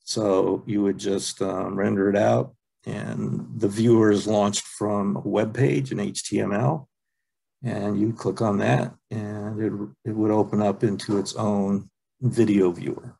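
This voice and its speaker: A man presenting a software training webinar over a video call, relaxed and halting, with many ums and uhs. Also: lowish voice, vocal fry, husky, low-quality recording. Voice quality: plain, unexciting voice. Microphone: computer mic on a video call.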